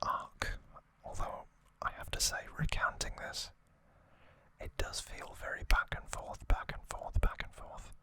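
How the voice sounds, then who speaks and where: Slow, breathy ASMR whisper. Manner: soft, rambling, unscripted commentary with opinions. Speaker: ASMR host. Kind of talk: whispered ASMR trivia recap.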